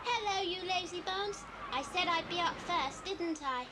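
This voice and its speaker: Woman as a very high-voiced little British girl. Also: a little slow. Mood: cheeky. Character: anxious, sweet, curious little girl. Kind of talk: 1980s children's adventure audio drama acting. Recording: hissy 1980s cassette recording.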